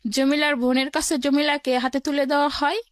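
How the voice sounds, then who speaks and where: A woman's voice: sits high, level tone, fairly quick, no room sound, studio quality. A woman with a Bangladeshi accent telling her own life story as an interview guest, in plain colloquial words, step by step.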